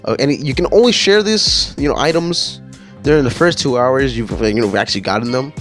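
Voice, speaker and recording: A man's voice: mid-range voice, lively intonation, brisk, fairly bright tone, faint music behind. A man hosting a gaming channel, casually reading through patch notes and rambling with many fillers and restarts.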